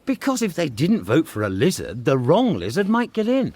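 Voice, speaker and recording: Brash british space drifter guy, sardonic and offhand, highish voice all over the place, radio comedy. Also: huge pitch swings, moderate pace, a little mellow. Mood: blasé, wry. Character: brash, blasé alien hitchhiker man, fond of gin. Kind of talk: radio comedy drama acting.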